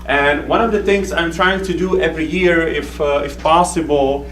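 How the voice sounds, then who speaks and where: A man from the Czech Republic with a Czech accent, giving a conference talk as a researcher, speaking haltingly with many fillers and restarts. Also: on the high side, fairly even intonation, a bit bright. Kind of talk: conference presentation. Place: conference hall. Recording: echoey room recorded from a distance with faint hum.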